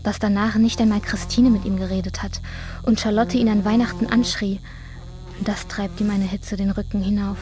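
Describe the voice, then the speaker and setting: A woman narrating a literary radio drama in a measured, introspective way. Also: mid-range voice, fairly even intonation.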